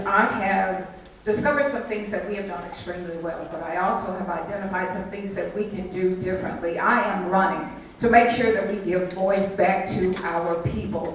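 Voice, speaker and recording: A woman council member speaks in formal oratory with repeated phrases. Her mid-pitched voice is picked up from far away. The room echoes heavily, with faint noise underneath. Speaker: city council member and mayoral candidate. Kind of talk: campaign speech. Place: civic center event room.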